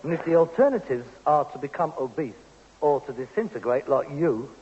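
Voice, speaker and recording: An actor playing a frustrated, self-important London Cockney son with grand ambitions in a 1960s radio sitcom. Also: male voice, fairly high pitch, expressive, normal speed, darkish tone, close to an everyday voice. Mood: pompous. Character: frustrated, pretentious rag-and-bone man son with grand ambitions. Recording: dull, noisy 1960s radio recording.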